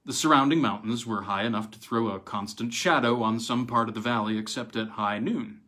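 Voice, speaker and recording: A man's voice: medium pitch, fairly quick, bright, forceful tone. Man reading an old weird tale. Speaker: reader of short fiction. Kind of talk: dramatic audiobook-style narration of an old weird tale.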